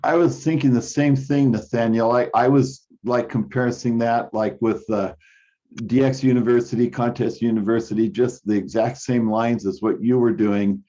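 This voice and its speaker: Mellow, halting man on a conference call. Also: medium pitch, fairly even intonation. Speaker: meeting participant. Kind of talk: casual meeting discussion. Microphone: online conference call. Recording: slightly dull conference-call audio.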